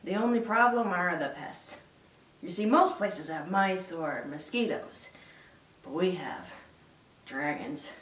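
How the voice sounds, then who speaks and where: A woman playing a sarcastic, awkward young Viking man in a dry, deliberate film-style recitation. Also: fairly low pitch, fairly bright tone. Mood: wry narration. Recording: echoey room, distant mic.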